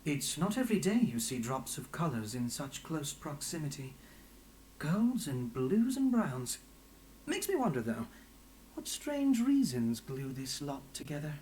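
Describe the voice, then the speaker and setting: An actor playing a curious, courteous newcomer in a fantasy game, musing and politely probing. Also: male voice, fairly high pitch, sing-song, measured pace, a little mellow, low-quality recording. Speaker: game character. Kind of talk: scripted fantasy game dialogue. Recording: light background ambience and noise.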